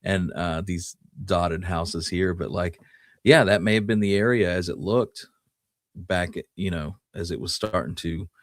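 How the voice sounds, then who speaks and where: Male podcast co-host, low voice, dry recording, casual and laid-back history talk. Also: measured pace, no room sound.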